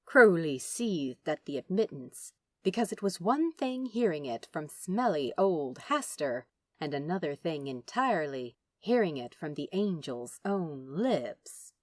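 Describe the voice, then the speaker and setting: A woman reading fiction aloud as an expressive audiobook narrator. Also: sits low, measured pace, dry recording.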